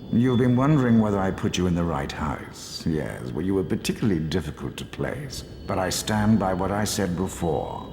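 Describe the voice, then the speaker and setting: A man's voice: low voice, very animated, normal speed, gravelly, few pauses, a little mellow, echoey room, a little hiss or hum, heavily acted. A man voicing an ancient enchanted talking hat in a fantasy game, sly, musing and theatrical.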